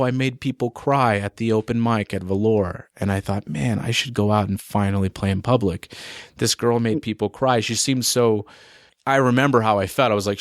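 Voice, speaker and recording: A young man chats loosely in a podcast interview, leaning on fillers like "you know" and "man". His voice sits in a middle pitch. It is recorded close and dry. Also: no echo. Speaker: musician guest. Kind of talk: casual interview chat.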